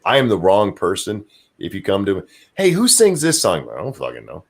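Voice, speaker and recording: A man with a very deep, low voice that swings widely up and down in pitch, talking loosely on a livestream. He rambles, swears casually and trails off while he searches for names and titles. His tone is expressive and animated. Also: fairly quick, breathy edge. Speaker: podcast co-host.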